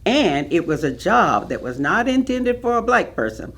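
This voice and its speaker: A woman actor playing a proud, firm administrator recalling her career in a staged reading, measured and matter-of-fact. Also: on the low side, sing-song. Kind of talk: staged reading of oral history.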